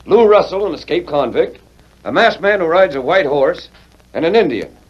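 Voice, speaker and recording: An actor playing a two-faced, crooked frontier lawyer in a 1940s radio western. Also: male voice, fairly high pitch, expressive, normal speed, husky. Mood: persuasive, oily. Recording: dull, noisy 1940s radio recording.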